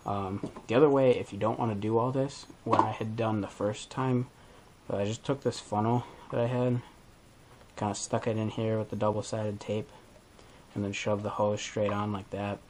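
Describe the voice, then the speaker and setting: A man's voice: fairly low pitch, little pitch movement, normal speed, darkish tone, slight background noise. A man hosting a DIY video, talking through a hands-on tutorial in a casual, informal way as he thinks aloud.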